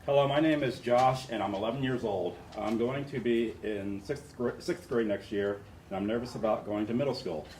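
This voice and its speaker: A man's voice: medium pitch, moderate pace, few pauses, a little mellow. A man taking part in a training, reading a first-person character profile aloud to the group. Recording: roomy, distant mic, faint noise.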